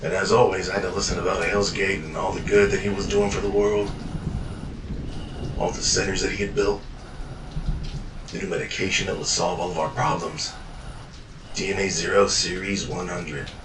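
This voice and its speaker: Male narrator, very low voice, weary storyteller who curses; roomy, distant sound with background noise. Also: flat delivery, moderate pace, long pauses. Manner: weary, world-worn storytelling with frequent swearing. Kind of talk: first-person fiction journal narration. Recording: echoey room, distant mic, noisy.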